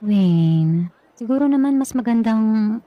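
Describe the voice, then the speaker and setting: A young woman in a radio drama, caught off guard by her former boyfriend and ducking his questions. Her voice sits fairly high and sounds close and dry. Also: lively intonation, slow, no room sound, lo-fi sound. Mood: uneasy. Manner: hesitant, evasive. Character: young woman, flustered ex-girlfriend now engaged.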